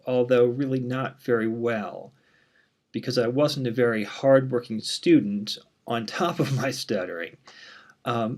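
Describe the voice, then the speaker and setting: A university instructor, a man in his thirties, with a voice of ordinary middle pitch. He talks casually and candidly, often pausing on "um", and now and then catches briefly on a word. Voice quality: mild stutter. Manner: relaxed, frequent "um" fillers, candid. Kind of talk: casual course introduction.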